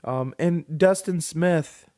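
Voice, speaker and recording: A man's voice: fairly high pitch, takes it slowly, warm tone. A man co-hosting a casual podcast, rambling with many fillers and restarts. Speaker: podcast co-host. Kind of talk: casual chat.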